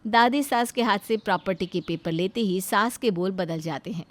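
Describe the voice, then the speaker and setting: A woman narrating a scripted moral story in an expressive storytelling style. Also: mid-range voice, normal speed.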